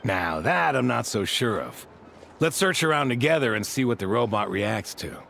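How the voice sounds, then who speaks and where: A man playing a calm, courteous adventurer in a video game, composed and thoughtful. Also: mid-range voice, normal speed, creaky, faint music behind. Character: calm, courteous, observant adult male adventurer.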